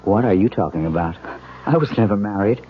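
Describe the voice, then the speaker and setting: A brash newlywed businessman , played by a male actor, swings widely in pitch with emphatic, bewildered protests. It is vintage radio drama, muffled and clearly hissy. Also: lively intonation, a little fast, natural voice. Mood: baffled, defensive. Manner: emphatic, exclamatory delivery. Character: bewildered new husband, brash hard-drinking businessman. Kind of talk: 1970s radio drama acting.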